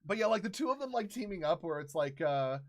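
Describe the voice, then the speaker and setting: A man in his thirties hosting a casual livestream, telling stories in an animated way and acting out quotes. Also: sits high, fast talker. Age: 30s. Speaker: streamer and host.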